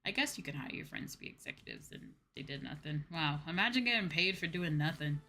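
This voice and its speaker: A woman hosts a casual chat stream in a fairly low but clearly bright voice. She talks candidly and informally, repeating points for emphasis. Music plays behind her. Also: very animated, quick pace. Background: background music.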